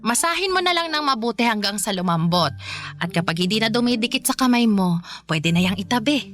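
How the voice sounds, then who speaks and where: An actress playing a patient, motherly older woman who gently teaches baking, in a radio drama with soft music behind. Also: on the high side, expressive. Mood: calm advising. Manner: gentle, advising, unhurried.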